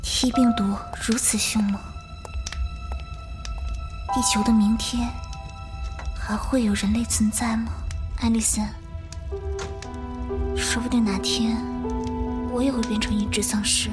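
A woman's voice: fairly high pitch, flat delivery, measured pace, pauses often, close to an everyday voice. An actress playing a sorrowful, fearful woman survivor in an audio drama, earnest and plaintive. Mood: melancholy, earnest. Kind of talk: Chinese audio drama acting. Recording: clear music behind voice.

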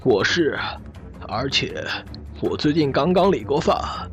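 An actor playing a flippant, animated man in an audio drama, with a husky voice. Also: mid-range voice, slow pace, muffled recording, soft background music. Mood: admitting.

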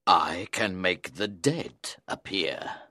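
A woman voicing a grand, sly male magician who talks slowly and deliberately with a hint of threat. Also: rumbling low, expressive, bright, forceful tone, no room sound, clean studio sound, pitched below the performer's own voice, exaggerated voice.

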